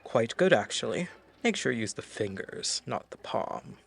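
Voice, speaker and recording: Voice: medium pitch, big pitch swings, normal speed, vocal fry, slight background noise. An actor playing a sardonic, flippant scavenger in a science fiction radio play, teasing and wry. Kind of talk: radio play character acting.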